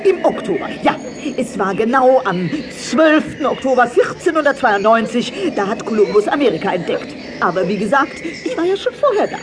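A woman's voice: fairly high pitch, sing-song, normal speed, noisy background. An actress playing a grumpy but caring old female witch, hundreds of years old, in a theatrical, scolding children's audio play style. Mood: boastful. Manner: theatrical, scolding, swooping exclamations.

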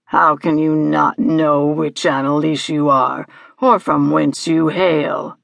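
A woman's voice: sits low, steady tone, slow, gravelly, no echo, pitched below the performer's own voice, exaggerated voice. A woman voicing an imperious, horrid hag who interrogates in a pompous, theatrical way in a storybook reading. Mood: scornful, badgering. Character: imperious, horrid invisible hag demanding answers, female.

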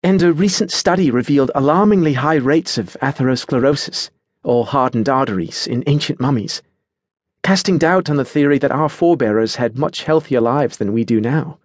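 A man voicing a bright, oblivious young woman in an audiobook story, chatty, precise and matter-of-fact. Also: medium pitch, moderate pace, no room sound, studio quality, pitched above the performer's own voice, lightly acted. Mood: thoughtful. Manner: cheerful, precise, lecturing, unruffled.

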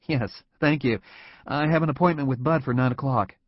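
A man's voice: medium pitch, normal speed, no echo, voice pushed up, close to an everyday voice. A man doing a nervous, stammering employee. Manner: hesitant, stammering. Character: anxious young male employee, hesitant. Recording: dull lo-fi audio.

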